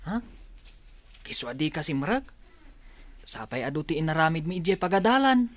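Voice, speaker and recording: A woman's voice: low voice, sing-song, moderate pace. A woman playing a dutiful, considerate young student, earnest and polite, in an audio drama. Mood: questioning. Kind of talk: radio drama dialogue.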